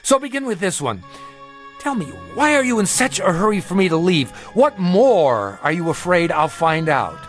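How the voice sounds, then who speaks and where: Indignant, high-pitched male traveller. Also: sing-song, normal speed, a bit bright, faint music behind. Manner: argumentative, sardonic, theatrical emphasis. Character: sick, suspicious, indignant male traveller, defiant and sarcastic. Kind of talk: dramatic television-style acting.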